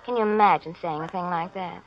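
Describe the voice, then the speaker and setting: A woman's voice: lowish voice, a little fast, close to an everyday voice. An actress playing a vain, dim young beauty queen who gushes and mangles big words, in 1940s radio style. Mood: vain, gushing. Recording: dull vintage radio recording.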